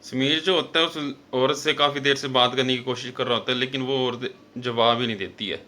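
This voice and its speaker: A man's voice: mid-range voice, fairly even intonation, a little fast, sharp tone. A man narrating a horror story as a show host, in an informal, conversational way with frequent fillers and self-corrections.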